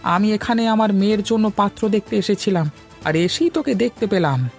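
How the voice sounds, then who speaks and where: A man voicing an aggrieved village grocer and worried father in a cartoon, with a creaky voice. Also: sits high, expressive, fairly quick, faint music behind. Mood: angry, accusing. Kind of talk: Bengali cartoon dialogue.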